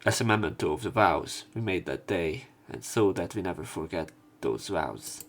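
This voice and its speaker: A man's voice: sits low, lively intonation, moderate pace. A man narrating a let's play, reading visual novel narration and dialogue aloud. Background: game music.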